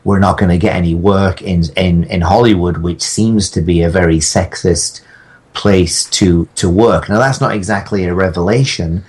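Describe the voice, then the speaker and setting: A man chatting casually as a podcast guest, telling stories in a halting, rambling way. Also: low-pitched, steady tone, a little mellow, husky. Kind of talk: casual anecdotal chat.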